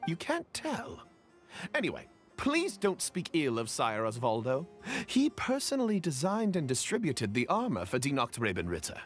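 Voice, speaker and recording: An earnest young knight, with a fairly high voice that leaps widely in pitch. He speaks in polite, flowery, formal phrases as in a fantasy game, over music. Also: huge pitch swings, moderate pace. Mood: earnest, miffed. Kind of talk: video game voice acting.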